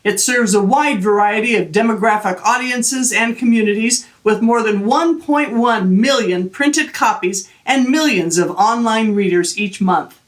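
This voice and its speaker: A woman hosting an arts web video series, reading scripted introductions and interviewing a guest in an even, clear delivery. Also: a little high, a little slow, few pauses. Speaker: host.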